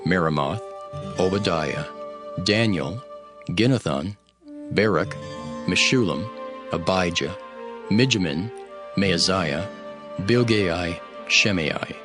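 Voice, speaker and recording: A man narrating scripture from a script in a formal, deliberate way. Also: low voice. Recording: studio narration under prominent music.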